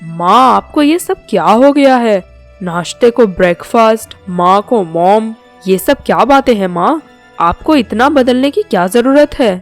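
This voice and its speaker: A woman's voice: on the high side, lively intonation, unhurried, soft background music. A woman voicing a puzzled grown son, questioning and baffled, in storybook dialogue.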